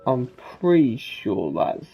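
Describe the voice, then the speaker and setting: Male game streamer, mellow and creaky, rambling casually over faint music. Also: medium pitch, big pitch swings, takes it slowly. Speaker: gaming video host.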